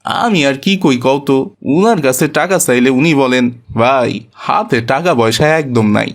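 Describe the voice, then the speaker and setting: A man playing a gentle, devout, poor village father in a cartoon, with a colloquial Bangladeshi accent and a mild, homely way of talking. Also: medium pitch. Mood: plaintive. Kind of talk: cartoon voice acting.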